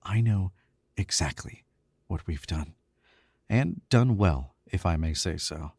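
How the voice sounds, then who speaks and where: A man voicing an arrogant young killer in a radio play, his very low voice cool and in control. He gives clipped, smug orders with a dry, close sound. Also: animated, takes it slowly, darkish tone, slightly rough, no room sound, heavily acted. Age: young adult. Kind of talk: 1940s radio acting.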